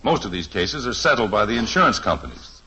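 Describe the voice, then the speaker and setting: Brief: a fatherly insurance man in an old radio sitcom, bright lively delivery, slightly husky, muffled vintage broadcast. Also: on the low side, a little fast, exaggerated voice. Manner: earnest, fatherly, gently lecturing. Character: kindly lecturing middle-aged insurance man father. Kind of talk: 1950s radio acting.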